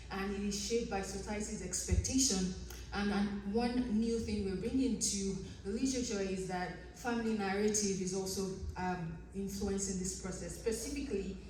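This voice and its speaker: A woman's voice: medium pitch, mellow, slight background noise. A woman with a Nigerian accent, originally a Yoruba speaker, giving an earnest academic conference presentation with frequent ums and restarts. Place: conference room. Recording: echoey room, distant mic.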